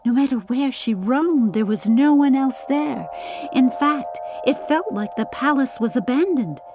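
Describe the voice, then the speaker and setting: A woman narrating a scripted storybook audiobook in a deliberate, dramatic storytelling manner. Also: sits high, expressive, over music.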